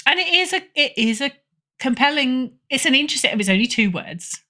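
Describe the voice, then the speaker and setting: A woman's voice: sits high, expressive, fairly quick, sharp tone. A woman speaking as an editor guest in a casual interview, emphatic and opinionated in a conversational way. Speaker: podcast guest, fiction editor.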